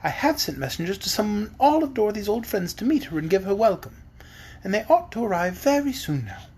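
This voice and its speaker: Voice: medium pitch, big pitch swings, a little fast, vocal fry, darkish tone, slight background noise, voice pushed up. A reader voicing a gracious, composed young princess.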